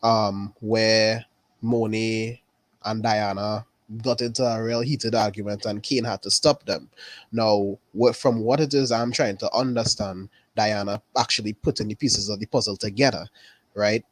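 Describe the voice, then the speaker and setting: A man from Trinidad with a Trinidadian accent, chatting casually about a TV episode, unhurried and repeating his phrases. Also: lowish voice, fairly even intonation, dry recording. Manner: unhurried, repeats phrases, says "really and truly". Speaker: caller.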